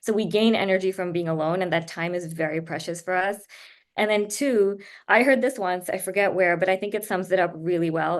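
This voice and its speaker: A woman guest speaker from Japan with a slightly low voice. She explains her points in a measured, thoughtful way during an online interview. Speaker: guest speaker, writer and editor. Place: indoors on a video call.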